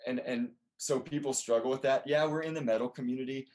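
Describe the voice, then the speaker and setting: A man talks as a guest in a relaxed interview. He speaks earnestly and with animation. He often restarts his sentences as he works through his thoughts. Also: medium pitch, brisk. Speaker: band member guest. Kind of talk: casual interview chat.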